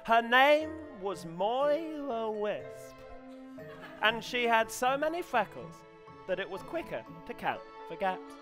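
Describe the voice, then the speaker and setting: Slow, hesitant English man reading deadpan. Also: very high voice, over music. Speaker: comedian reading as an amateur novelist character. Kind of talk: live comedy reading, deadpan storytelling. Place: small stage set indoors, before an audience. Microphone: headset.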